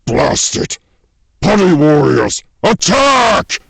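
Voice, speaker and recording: A man voicing a villainous monster henchman in an audio drama, with a raspy growl and a theatrical, gloating manner. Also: high voice, very animated, heavy background noise, voice pushed up, heavily acted. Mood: commanding, irritated. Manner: drawn-out, theatrical delivery with villainous laughs.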